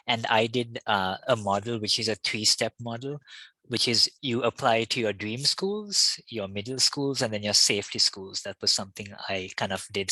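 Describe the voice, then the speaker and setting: Guest speaker: man in his thirties from Sri Lanka, low and bright, dry close sound, easygoing and hedging. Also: dry recording, low-quality recording. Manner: relaxed and conversational, with frequent fillers and hedges. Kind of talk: casual webinar talk. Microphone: video call.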